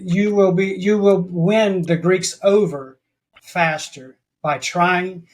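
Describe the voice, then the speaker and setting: A man's voice: high-pitched, steady tone, slow, poor audio. A man talking as a podcast guest in a rambling way, often restarting his sentences. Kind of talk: casual interview chat.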